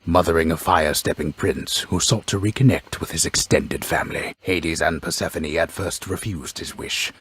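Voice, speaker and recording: Game narrator: a grave mythic storyteller, extremely low and very husky, pompous, wry, ornate delivery. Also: male voice, exaggerated voice. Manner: solemn, deliberate, ornate, wryly deadpan. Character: grave, pompous old storyteller narrating Greek myth, wryly ominous. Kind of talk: scripted dramatic storybook narration.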